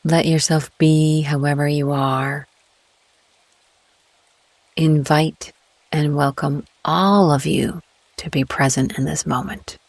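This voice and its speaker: A woman guiding a meditation in a gentle, calm, unhurried way with long pauses between phrases. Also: deep voice, no room sound, rough recording. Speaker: guided meditation host. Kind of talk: soothing guided meditation narration.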